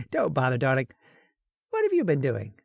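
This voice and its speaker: A woman's voice: extremely low pitch, huge pitch swings, normal speed, no room sound, clean studio sound, exaggerated voice. A woman playing a male scientist in an old-time radio horror play, teasing at first and frantic under threat. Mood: smooth teasing. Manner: smooth and teasing, then frantic and shouting.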